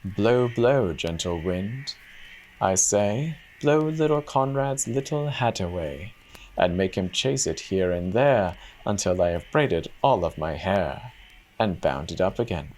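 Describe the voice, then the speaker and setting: A man's voice: deep voice, lively intonation, takes it slowly, a little mellow, slight background noise, rough recording. A man voicing a sorrowful young princess disguised as a goose girl, solemn and lamenting, in a storybook reading. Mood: gentle, chanting.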